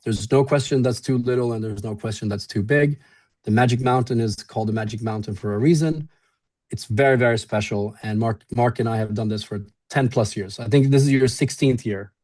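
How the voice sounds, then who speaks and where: A man from Sweden with no clear accent, talking in a relaxed, friendly way with many fillers on a webinar panel. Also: mid-range voice, fairly quick. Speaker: event organizer.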